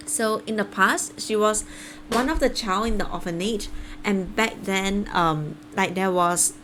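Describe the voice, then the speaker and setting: A woman live-streaming a game, chatting casually and haltingly as she thinks aloud. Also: mid-range voice, fairly bright tone, a little hiss or hum, low-quality recording.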